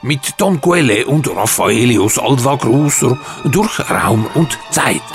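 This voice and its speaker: A man's voice: medium pitch, lively intonation, slow pace, faint music behind. A man hosting and narrating from a script in formal, ornate phrasing. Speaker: narrator and host of audio drama show.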